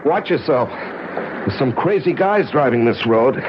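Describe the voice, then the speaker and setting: An American actor playing an injured, evasive and wry drifter, colloquial and halting, in 1970s radio drama style on a muffled old broadcast. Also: male voice, medium pitch, expressive, heavy background noise, close to an everyday voice. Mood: pained, stubborn.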